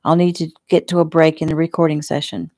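A woman narrator plays a weary young man in a romance audiobook. The voice is low and mellow with a creaky edge, earnest, halting and placating. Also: flat delivery, no room sound, clean studio sound.

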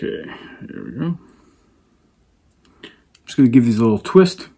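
A man in his thirties talks through a wiring job in a relaxed, muttering way, his voice husky and mid-pitched. He sits at a table indoors, picked up by a camera across from him. Some words drop to a near whisper as he concentrates. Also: normal speed. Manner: relaxed, muttering step by step, often half-whispered. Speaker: fishing channel host. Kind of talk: casual how-to narration while working.

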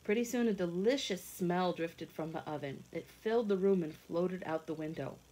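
A woman in her thirties reading a picture book aloud and explaining it to children in simple, expressive, teacherly phrases. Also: on the low side, moderate pace, low-quality recording. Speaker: children's read-along teacher. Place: indoors at home, kitchen and a room.

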